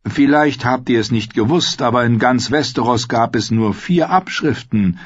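Man playing a courteous knight, pitch raised. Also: moderate pace, slightly rough, dry recording, lo-fi sound, natural voice. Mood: polite reproach. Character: cautiously reproachful noble knight, adult man. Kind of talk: fantasy audiobook character acting.

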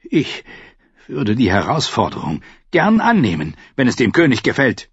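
In an audiobook, a man plays a brash young knight, pushed far above his own pitch and louder. The tone is sneering and hot-headed, with a husky edge on a dry, slightly dull recording. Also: wildly swooping pitch, moderate pace, no echo, full character voice. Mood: eager. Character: young male knight, hot-tempered and boastful.

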